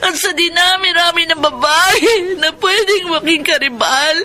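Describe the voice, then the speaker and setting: A woman's voice: extremely high pitch, moderate pace, bright, forceful tone, faint music behind. An actress playing a heartbroken young woman in a Filipino radio drama, tearful and melodramatic. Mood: tearful, betrayed. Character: heartbroken young woman betrayed by boyfriend and best friend.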